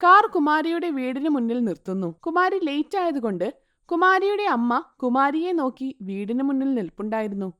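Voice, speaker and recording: A woman narrating a scripted moral story in an expressive, sing-song way. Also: high voice, normal speed, no echo, highs cut off.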